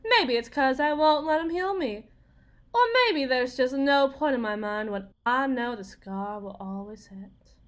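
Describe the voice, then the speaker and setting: A woman's voice: high-pitched, expressive, a little slow. A woman playing a bitter, desperate young Southern US wife, confiding in a folksy, theatrical way in an audio roleplay monologue. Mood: bitter, weary.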